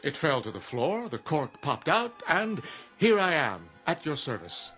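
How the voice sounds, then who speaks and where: A man's voice: fairly high pitch, big pitch swings, a little slow, pushed and bright, faint music behind, exaggerated voice. A man acting in a radio drama as an urbane, polite genie who talks in formal, precise sales patter. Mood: smooth, formal. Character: urbane genie in a business suit, polite and matter-of-fact.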